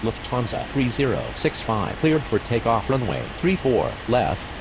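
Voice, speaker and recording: A woman's voice: rumbling low, big pitch swings, unhurried, music in the background, heavily acted. A woman voicing a formal airport radio operator in an aviation game, with clipped, scripted call-outs. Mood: formal, neutral. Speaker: air traffic radio voices in a game. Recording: very noisy, whirring engine-like background.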